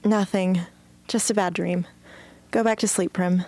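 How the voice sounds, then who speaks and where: A woman's voice: mid-range voice, fairly even intonation, unhurried, darkish tone, slight background noise, natural voice. A woman playing a troubled, determined teenage girl rebel in an amateur radio drama. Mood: troubled, subdued.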